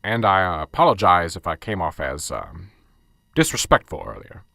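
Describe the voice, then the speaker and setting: A man's voice: low voice, big pitch swings, normal speed, vocal fry, pushed and bright, no echo, clean studio sound, heavily acted. A man playing a fed-up, sarcastic police sergeant. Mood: weary. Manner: curt, exasperated, sarcastic. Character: stressed, blunt male police sergeant, short-tempered. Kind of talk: amateur radio drama.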